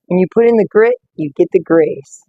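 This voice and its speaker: A woman with a slightly rough voice, reading oracle cards in a casual live stream and greeting viewers by name. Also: lowish voice, normal speed, narrow, tinny audio, slight background noise. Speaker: oracle card reader.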